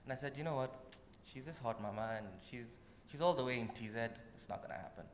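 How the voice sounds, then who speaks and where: A man's voice: mid-range voice, fast. Kenyan man telling stories in an echoing hall. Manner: animated, laughing, with many restarts and repeated words. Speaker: guest musician. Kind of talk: casual storytelling on a talk show. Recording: roomy hall with long echo, faint noise.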